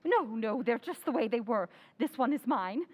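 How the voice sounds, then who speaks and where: An actress playing a frightened, desperate, suspicious wife in an old-time radio horror play, halting and highly emotional. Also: female voice, lively intonation. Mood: wary.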